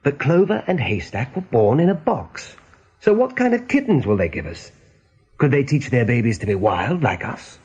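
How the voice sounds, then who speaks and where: A man's voice: medium pitch, big pitch swings, moderate pace, darkish tone, slightly rough. An actor playing a loyal, serious male wild rabbit in a radio play. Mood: troubled. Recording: muffled, band-limited radio play recording.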